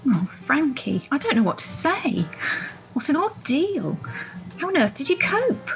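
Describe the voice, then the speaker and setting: A genteel mother acted by a woman speaks in a slightly high voice in a radio play. She is polite, effusive and full of sympathy. The sound is an amateur video-call recording with faint music behind. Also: sing-song, unhurried, heavily acted, low-quality recording. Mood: sympathetic. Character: Sinead, genteel, gushing, sympathetic mother of young children.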